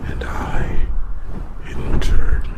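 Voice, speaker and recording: A man voicing a proud ancient dragon who tells his tale in a halting, dramatic, breathy growl, in a fantasy ASMR roleplay. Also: natural voice. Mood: proud, solemn. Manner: dramatic, halting, addresses listener as human. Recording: loud rushing ambient noise.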